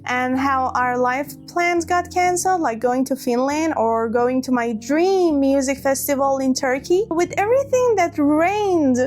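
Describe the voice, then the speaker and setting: A woman's voice: high-pitched, lively intonation, measured pace, hardly any pauses, a little mellow, faint music behind. A woman telling a personal story to camera, hurt and hesitant, often restarting her words. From Iran. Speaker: vlogger.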